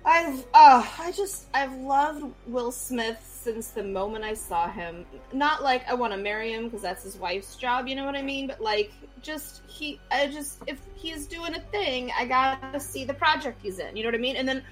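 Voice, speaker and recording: A woman's voice: high voice, normal speed, hardly any pauses, faint music behind, poor audio. A woman in her forties co-hosting a casual podcast, gushing and rambling with bubbly enthusiasm. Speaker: podcast co-host.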